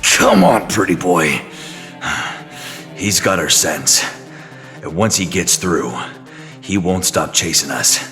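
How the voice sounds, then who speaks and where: A man playing a gruff, weary, world-worn superhero in an audio drama, with a low gravelly growl and terse, slangy speech. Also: sing-song, takes it slowly, pauses often, a bit bright, full character voice. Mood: grim, resolute. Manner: terse, tough, folksy slang. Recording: long cavernous reverb, music underneath. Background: dramatic music.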